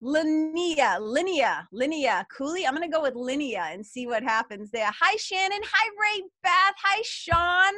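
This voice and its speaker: Woman, Boston accent, middle-aged, high bright voice, bubbly webinar co-host, dry close sound. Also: no echo. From Massachusetts. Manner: bubbly, chatty, enthusiastic. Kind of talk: live webinar, greeting viewers in the chat.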